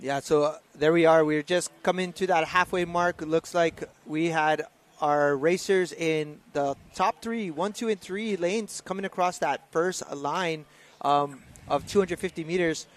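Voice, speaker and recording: Brief: male co-commentator, slightly high voice, laid-back, halting delivery with lots of "uh". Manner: relaxed, hesitant, many filler words. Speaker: race commentator. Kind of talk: live sports commentary.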